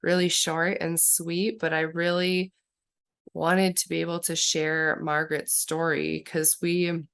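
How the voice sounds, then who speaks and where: A woman's voice: deep voice, little pitch movement, measured pace, a bit bright, clean tone. A woman hosting a casual video who has a cold and is losing her voice, introducing her guest with bubbly enthusiasm. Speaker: host.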